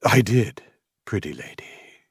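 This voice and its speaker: A man's voice: lowish voice, big pitch swings, slow pace, slightly rough, dry recording, clean studio sound, full character voice. A man voicing an eccentric, scruffy male loner who talks in sly, giggly, theatrical rhymes for an audiobook. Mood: giddy, then serious. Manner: giggly, erratic, theatrical rhyming delivery.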